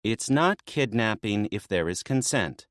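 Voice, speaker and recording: A man voicing a sharp-tongued, bossy female maenad with a dry, mocking manner. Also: on the low side, expressive, measured pace, dry recording, voice pushed up. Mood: sarcastic.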